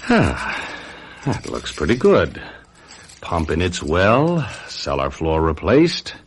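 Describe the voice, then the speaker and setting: An actor playing a guilty, scheming husband in a radio mystery, brooding and theatrical. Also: male voice, low voice, wildly swooping pitch, takes it slowly, a little hiss or hum, heavily acted. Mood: irritated, curt. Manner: theatrical, brooding, self-justifying. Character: guilty, scheming husband who murdered his wife. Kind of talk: old-time radio mystery drama acting.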